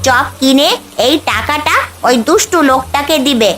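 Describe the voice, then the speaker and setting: A woman's voice: sits high, moderate pace, music in the background, natural voice. A woman voicing a kind magical bird in a cartoon story, reassuring and instructive in manner. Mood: purposeful. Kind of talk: Bengali cartoon story dubbing.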